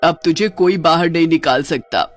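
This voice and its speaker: A woman voicing a menacing, gloating witch in a horror cartoon, with slow, drawn-out taunts. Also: low voice, natural voice. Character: menacing gloating female witch haunting her theater. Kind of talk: Hindi horror cartoon voice acting. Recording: music under the voice.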